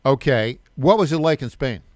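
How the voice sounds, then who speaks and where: A man's voice: mid-range voice, animated, moderate pace, no room sound. A man hosting a talk radio show, interviewing a guest with direct questions and frequent stumbling restarts.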